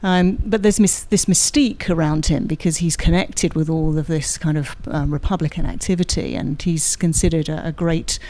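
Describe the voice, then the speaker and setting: A woman's voice: sits low, fairly even intonation, normal speed, hardly any pauses, mellow, rough recording. A woman speaking as a guest expert in a studio interview, explaining in an articulate way and agreeing emphatically. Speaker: guest expert, university drama lecturer. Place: radio studio.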